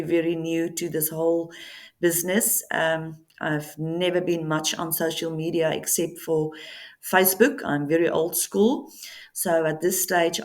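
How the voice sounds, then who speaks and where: Woman talking slow n kinda low, lots of ums, showing off her book to camera. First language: Afrikaans. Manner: slow, hesitant, with many ums and pauses. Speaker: author presenting her book. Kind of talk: casual talk to camera. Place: Namibian coastal town.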